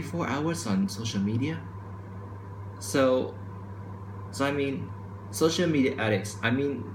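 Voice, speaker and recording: Young male student vlogger, mid-pitched, stumbling and restarting lines, camera mic in a roomy space with faint hum. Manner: stutters and restarts, says he speaks too quickly. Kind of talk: casual opinion monologue to camera. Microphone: camera microphone. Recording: echoey room with faint ambience.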